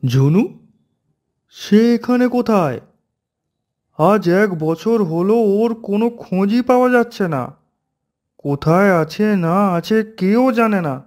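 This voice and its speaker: A blunt village friend who scoffs at ghost talk, voiced by a man. His voice sits high yet sounds dark and mellow. He talks in a short, dismissive way. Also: animated, unhurried, pauses often. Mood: scoffing. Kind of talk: cartoon voice acting.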